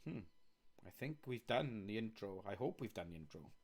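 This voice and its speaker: A man's voice: on the low side, very animated, normal speed. A man with a Welsh accent live-streaming a coding game, chatting casually as he thinks aloud and reads on-screen text.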